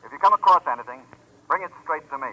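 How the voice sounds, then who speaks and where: A man's voice: a little high, lively intonation, fast, over loud music, natural voice. A man playing a businesslike, skeptical authority figure in an old-time radio drama, matter-of-fact and questioning. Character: businesslike male authority, detective's skeptical contact. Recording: noisy vintage radio recording.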